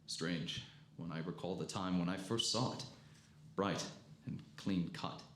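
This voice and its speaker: A man acting a solemn, haunted professor who survived an invasion, narrating gravely and deliberately in a 1930s-style radio drama. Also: deep voice, fairly bright tone. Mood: reflective, solemn. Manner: grave, deliberate, literary storytelling. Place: live performance before an audience in a hall. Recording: echoey live stage, faint noise.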